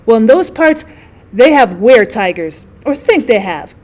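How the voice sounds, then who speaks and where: A woman reading as a haughty, animated society lady. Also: on the high side, unhurried. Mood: drily haughty. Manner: wordy, haughty, emphatic storytelling. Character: genteel, indignant, drily witty woman recounting colonial mishaps.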